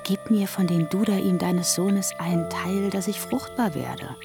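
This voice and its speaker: Rahel: yearning, coaxing younger sister, very low voice, music under. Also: female voice, unhurried, creaky. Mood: pleading. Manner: pleading, coaxing, fervent. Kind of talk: radio drama acting.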